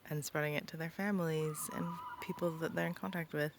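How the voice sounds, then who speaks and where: Young female vlogger, casual and rambling, a fry-edged voice, on a noisy outdoor recording. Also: sits low, steady tone, a little fast. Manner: rambling, many ums and likes. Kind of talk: casual vlog talk. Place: rural property outdoors, birds around.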